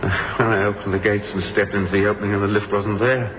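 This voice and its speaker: An actor playing a trapped, freezing businessman in a radio thriller, talking to himself in a halting, weakening, despairing way. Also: lowish voice, flat delivery, close to an everyday voice. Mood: grim musing. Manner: halting, brooding monologue broken by desperate outbursts. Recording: muffled lo-fi audio, clearly noisy.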